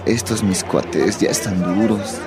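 A man's voice: fairly low pitch, takes it slowly, over music, crowd noise. A man with a Bolivian accent acting an insecure, hesitant teenage boy torn between love and bravado in a radio drama. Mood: hesitant, defensive. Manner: hesitant, slangy.

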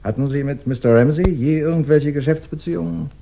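An actor playing a courteous, probing detective who questions witnesses methodically in a 1960s German radio crime drama. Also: male voice, a little high, a little fast, creaky. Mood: polite, insistent. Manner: methodical, polite, insistent questioning. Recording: dull 1960s radio recording.

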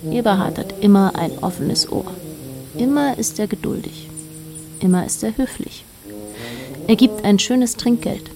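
A woman narrating a literary radio drama in a dry, measured, quietly ironic manner. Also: medium pitch, animated, over music.

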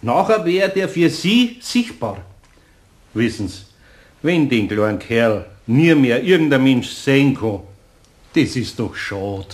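An actor playing a kindly, mild older master craftsman in a radio play, with a Bavarian accent and a gentle, hesitant manner. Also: medium pitch, very animated, slow. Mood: gently persuading. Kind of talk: German radio play acting.

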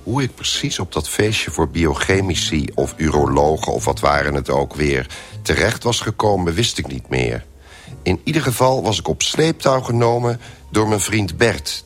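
Hungover first-person narrator for radio drama, weary and wry, extremely deep voice. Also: male voice, animated, normal speed, soft background music. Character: hungover man wearily recounting last night's drinking party. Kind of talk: Dutch radio play narration.

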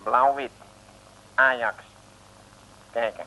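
An actor playing a wheedling, crafty Italian diamond dealer with an Italian accent in an old radio comedy. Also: male voice, mid-range voice, fairly even intonation, drawn-out words, pushed and bright, muffled recording. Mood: appraising. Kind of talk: 1950s-60s comic radio play acting. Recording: noisy old radio recording.

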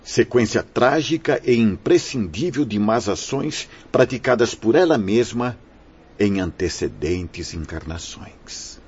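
A man's voice: fairly low pitch, darkish tone, husky, heavily acted. A man playing a wise, kindly priest and spirit mentor, narrating solemnly and theatrically in a radio drama. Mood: grave, compassionate. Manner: solemn, preachy, theatrical phrasing. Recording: dull, muffled radio-play recording.

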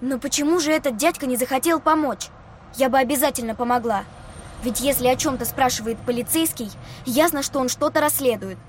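A woman's voice: sits high, a little fast, slight background noise, lightly acted. A woman playing a curious, insistent teenage girl who keeps questioning, in an audio drama. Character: curious, pushy teenage girl from a good family.

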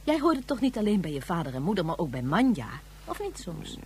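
An actress playing a gentle, reasoning mother in a radio drama, soothing and questioning as she speaks. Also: on the low side, sing-song, a little fast, husky, heavily acted. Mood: gentle, earnest. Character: gentle, reasoning woman, mother comforting a child. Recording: faint noise and hum behind.

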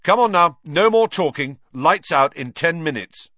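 A man voicing a self-important teenage prefect who shouts orders. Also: on the high side, animated, unhurried, no room sound, dull audio, pitched above the performer's own voice, heavily acted. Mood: bossy shouting.

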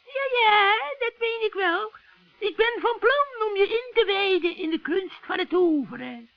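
A man voicing a scheming old witch in a children's radio play, shrill and cackling, with sugary, wheedling friendliness. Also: animated, no room sound, full character voice. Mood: persuasive, sly. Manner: wheedling, drawn-out coaxing. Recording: dull, dry vintage radio recording.

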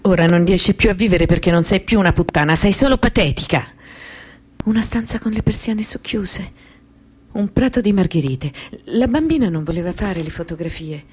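An actress playing an anguished woman who survived abuse, in an intense, deliberate radio-drama monologue. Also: on the low side, lively intonation, darkish tone, slight background noise, natural voice. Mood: bitter, anguished.

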